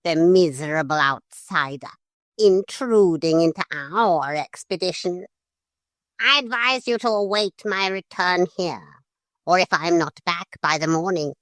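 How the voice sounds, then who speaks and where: A woman's voice: lowish voice, unhurried, no echo, close to an everyday voice. Woman doing a prim, pompous parrot. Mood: prim scolding. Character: fussy polite male parrot, bossy, scolding.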